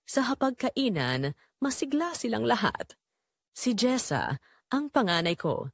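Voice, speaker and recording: A woman's voice: medium pitch, no echo, clean studio sound. Woman acting wistful Filipina mother, swooping intonation. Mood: quietly hurt. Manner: wistful, emotive, addressing listeners directly. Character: weary, neglected middle-aged Filipina mother, quietly sorrowful. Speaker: narrator and main character of an audio drama. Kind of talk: first-person dramatic confessional storytelling.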